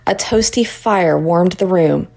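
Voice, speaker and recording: A woman narrating a children's story in an expressive read-aloud style. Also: on the low side, slow pace, vocal fry.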